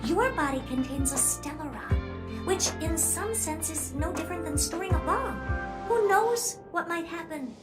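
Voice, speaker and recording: A woman's voice: very high voice, lively intonation, unhurried. A woman voicing an arrogant, flippant, girlish genius scientist in a game dub, speaking in a blunt, dismissive way. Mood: smug, eager. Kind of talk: English game dub voice acting. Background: game music.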